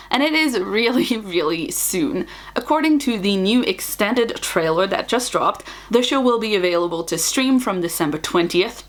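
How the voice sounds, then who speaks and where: A woman hosting a scripted news round-up for online viewers, speaking with enthusiasm and the odd wry aside. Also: medium pitch. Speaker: host of a book and genre news show.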